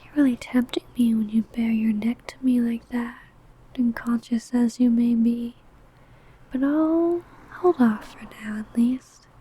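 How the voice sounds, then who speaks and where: A woman's voice: a little high, measured pace, dark tone, breathy edge. A woman playing an ancient, possessive, doting female vampire in a whispered, intimate ASMR roleplay, crooning breathily. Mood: protective, possessive.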